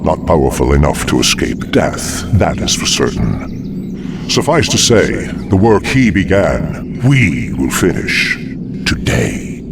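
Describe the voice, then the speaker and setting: A man voicing a scholarly undead lich sorcerer in a video game, with a hollow, gravelly voice and formal, grandiose phrasing. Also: a bass voice, big pitch swings, slow pace, reverberant, very noisy, exaggerated voice. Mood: coldly scholarly. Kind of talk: video game villain acting. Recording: loud music under the voice.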